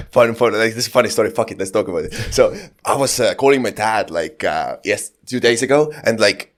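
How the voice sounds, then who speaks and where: A man from Estonia, a native Estonian speaker, hosting a podcast in English, casual and quick to laugh, with loose fillers and the odd swear. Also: on the low side, expressive. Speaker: podcast host.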